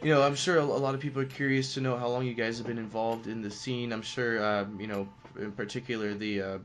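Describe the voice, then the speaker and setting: A man's voice: medium pitch, fairly even intonation, fast, faint music behind. A man hosting an online interview, asking meandering questions full of fillers. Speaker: host, interviewer.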